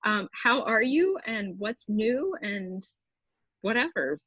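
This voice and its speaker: Woman co-host, husky voice, dry sound, upbeat, video call. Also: mid-range voice, sing-song, slow pace, no room sound, highs cut off. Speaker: podcast co-host. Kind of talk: casual video call chat.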